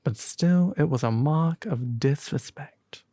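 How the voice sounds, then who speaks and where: A performer doing a proud little storybook swallow, creaky. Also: medium pitch, slow pace, warm tone, no echo, studio quality. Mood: haughty, indignant. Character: small male swallow, proud, chatty, kind-hearted storybook bird.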